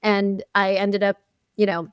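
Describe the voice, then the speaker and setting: Woman, Arabic first language, interview guest, chatty storytelling, smooth clear voice, dry close sound. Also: mid-range voice, measured pace, no echo. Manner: animated storytelling with frequent "you know" and laughs. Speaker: guest, chief dental officer. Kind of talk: conversational interview.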